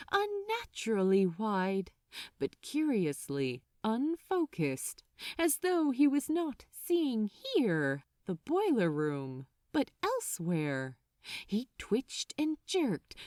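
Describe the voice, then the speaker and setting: A woman reading fiction aloud as a dramatic, deliberate and suspenseful storyteller. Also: a little high, darkish tone, dry recording, clean studio sound. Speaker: fan fiction narrator.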